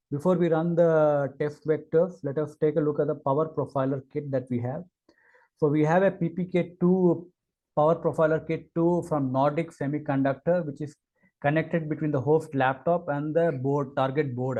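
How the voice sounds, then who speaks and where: A man walking through a technical product demo, talking in a halting way with many fillers and restarts. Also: on the high side, mellow, muffled recording. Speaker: engineer giving a product demo. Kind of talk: screen-recorded technical walkthrough.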